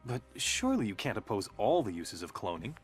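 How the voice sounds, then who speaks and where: An actor playing a wry, skeptical American reporter, needling and incredulous, in a video game cutscene. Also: male voice, on the low side, sing-song, a little fast. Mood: skeptical, probing. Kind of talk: dramatic game cutscene dialogue. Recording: music behind the voice.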